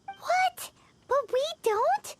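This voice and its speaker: A woman voicing a tiny, indignant, childlike companion creature in a video game, squeaky and whiny. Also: lively intonation, extremely slow, noisy background, full character voice. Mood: baffled.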